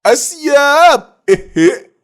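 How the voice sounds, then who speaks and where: A woman's voice: high-pitched, very animated, drawn-out words, a little hiss or hum, full character voice. A woman voicing an eager, suspicious young male sidekick in a cartoon, exclamatory and deferential. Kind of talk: animated cartoon dialogue.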